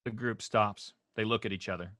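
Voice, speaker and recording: A man's voice: fairly low pitch, fairly even intonation, fairly quick, a bit bright. A man reading scripted stage directions as narrator at a table read.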